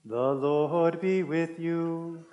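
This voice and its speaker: A priest in his thirties leads the liturgy from a church altar. His voice sits fairly high for a man, and he intones the prayers slowly and solemnly. The delivery is even and deliberate. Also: muffled recording. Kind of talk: leading mass liturgy.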